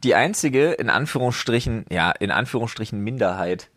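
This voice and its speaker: A man's voice: medium pitch, lively intonation, normal speed, fairly bright tone, no echo. A man from East Germany co-hosting a podcast, chatting casually with dry, self-mocking jokes. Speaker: podcast co-host. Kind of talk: casual banter. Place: office studio in Berlin.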